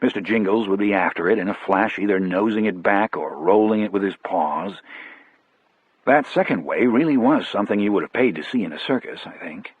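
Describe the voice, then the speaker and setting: A man narrating a first-person audiobook story in a measured, reflective manner. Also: on the low side, husky, no echo, narrow, tinny audio.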